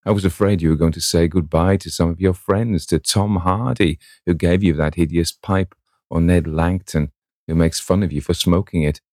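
A man's voice: low voice, fairly even intonation, moderate pace, darkish tone, no echo, studio quality, natural voice. A man voicing an eager, lovestruck young woman in a storybook reading, gushing and breathless. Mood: teasing.

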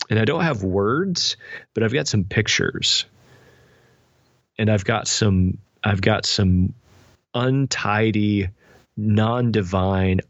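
A middle-aged man with a low voice talks thoughtfully in an interview, illustrating his points as he goes. The recording is dry and close, with a slightly dull top end. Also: no echo. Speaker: podcast guest, spiritual director and author. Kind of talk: reflective interview conversation.

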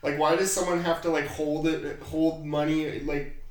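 Raspy man in a very echoey room. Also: on the high side, level tone, normal speed. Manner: profane, irreverent. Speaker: podcast host. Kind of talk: casual chat.

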